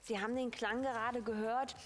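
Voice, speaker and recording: A woman's voice: on the high side, steady tone, moderate pace, slight background noise. A woman presenting live teleshopping, talking in an enthusiastic, conversational way in a large hall. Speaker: shopping TV presenter. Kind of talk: live teleshopping sales talk.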